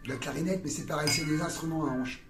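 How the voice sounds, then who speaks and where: A man's voice: fairly high pitch, fairly even intonation, fast. A middle-aged man talking casually in a street interview, rambling and slangy, with many fillers and musings. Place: outdoors on a town street. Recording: roomy sound with loud music behind.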